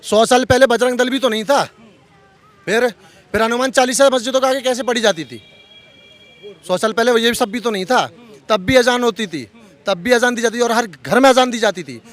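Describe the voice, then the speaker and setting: High-pitched, argumentative man in street debate. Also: normal speed, a bit bright. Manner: argumentative, rhetorical questioning, repeats phrases. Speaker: street interviewee.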